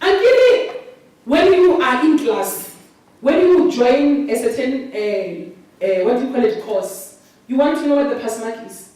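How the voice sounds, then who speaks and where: High-voiced South African woman preaching in an echoey hall. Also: a little slow. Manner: animated sing-song preaching, mixes in local words. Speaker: pastor. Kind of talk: sermon. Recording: very echoey, distant mic.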